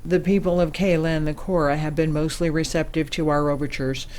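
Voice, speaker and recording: A woman playing a formal, measured ambassador briefing her crew in an audio drama. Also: deep voice, flat delivery, a little mellow, lightly acted. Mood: formal, assured. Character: composed female ambassador leading a secret space mission.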